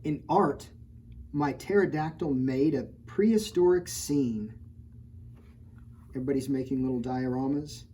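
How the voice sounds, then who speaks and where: A woman's voice: very deep voice, measured pace, pauses often, dark tone, slight background noise. A woman librarian reading a picture book aloud to children, stopping often for playful, teasing remarks about the pictures. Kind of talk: storytime read-aloud with chatty asides.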